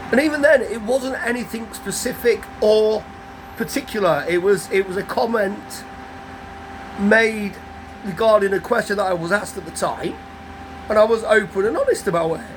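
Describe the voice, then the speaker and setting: A man, croaky from a cold, telling a rambling, guarded story to his vlog audience, full of restarts. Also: high voice, normal speed. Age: 50s. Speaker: vlogger. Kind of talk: casual story to camera. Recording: outdoor traffic noise behind.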